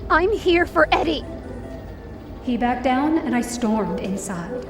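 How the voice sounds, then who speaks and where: A woman's voice: high voice, sing-song, slow pace, reverberant, distant mic, over music, heavily acted. A woman playing an emotional young woman who narrates her own story in an audio drama. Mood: angry. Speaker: first-person narrator and lead.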